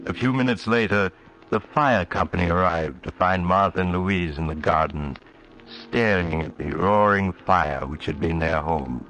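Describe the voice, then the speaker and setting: Low, sly horror-radio storyteller. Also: male voice, unhurried, fairly bright tone. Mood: ominous. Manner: sardonic, mock-genial. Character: the Mysterious Traveler, sly, macabre male train companion. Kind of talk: 1940s radio horror narration. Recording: noisy old-time radio recording.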